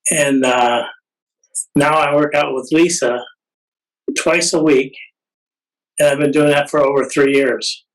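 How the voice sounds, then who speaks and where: A man in his eighties introducing himself slowly, with halting pauses. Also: a little high, steady tone. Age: 80s. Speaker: group participant. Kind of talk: self-introduction. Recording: voice distant from the mic.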